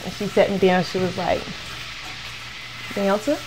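A young woman from Florida talks casually as a guest in an interview. Her voice is middling in pitch, with informal, slangy wording. Clear sounds of food frying in a home kitchen run behind her. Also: flat delivery, moderate pace, noisy background. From born in Liberia, raised in Florida, United States. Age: young adult. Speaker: guest, head of operations of a food business. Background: frying and sizzling food.

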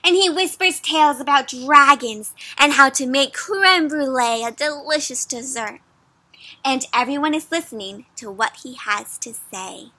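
A young adult woman reading a picture book aloud to children in an animated, interactive way, addressing her listeners directly. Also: high voice, measured pace. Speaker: children's story reader, host. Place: outdoors under trees.

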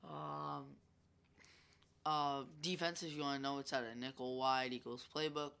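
Bright congested young gaming guy. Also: mid-range voice, a little slow, clear, resonant tone. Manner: chatty, rambling, filler-heavy. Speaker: gaming YouTuber. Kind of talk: casual gameplay commentary.

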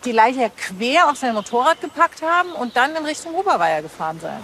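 An actress playing a brisk, sceptical forensic pathologist in a TV crime drama. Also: female voice, high-pitched, big pitch swings, fairly bright tone, a little hiss or hum, heavily acted. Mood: inquiring. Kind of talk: television crime drama acting.

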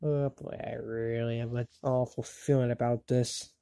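A woman's voice: extremely low pitch, level tone, unhurried, warm tone, smooth, no room sound, clean studio sound. A woman voicing a bratty, hot-tempered young boy wizard in an amateur cartoon fandub, sneering and exasperated. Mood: dismissive, uneasy.

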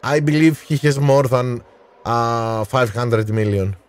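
A man in his thirties streaming a racing game live, calling strategy and answering his chat by name with frequent pauses, on a headset mic in a small room. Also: medium pitch, clear, resonant tone. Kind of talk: live gameplay commentary and chat replies.

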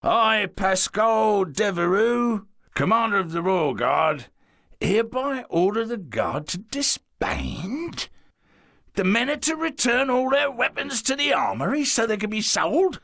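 An actor playing a theatrical medieval nobleman and soldier in a video game, speaking in a dramatic, declamatory way with a creaky, husky voice. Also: sits high, very animated, measured pace, fairly bright tone, full character voice. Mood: pompous decree. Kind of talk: video game cutscene acting.

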